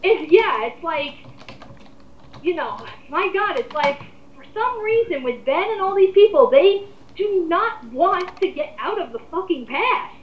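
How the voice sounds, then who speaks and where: A woman's voice: very high-pitched, lively intonation, measured pace, slight background noise. A woman on a casual group rant, talking in an exasperated, emphatic way with plenty of swearing. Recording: muffled, echoey amateur voice recording.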